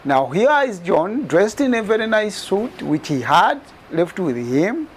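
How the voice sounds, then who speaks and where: A man's voice: very animated, measured pace, a little hiss or hum. Emphatic man in his forties. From Uganda. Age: 40s. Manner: emphatic, repeats phrases, rises in pitch on key details. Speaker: immigrant telling a story. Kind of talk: personal testimony.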